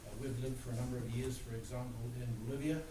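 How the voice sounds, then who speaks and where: An older man with a New Zealand accent giving a relaxed, joking lecture to students, with plenty of "uh" fillers. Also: mid-range voice, quick pace. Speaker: guest speaker. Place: large room. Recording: echoey room recorded from far off, noisy.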